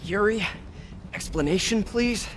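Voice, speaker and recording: A man's voice: high-pitched, measured pace. An actor playing a wisecracking, earnest American superhero in his twenties in a video game, conversational and joking, with background noise. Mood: wry, quippy. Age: 20s. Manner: quippy, conversational. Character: wisecracking young superhero man, earnest, quick with jokes.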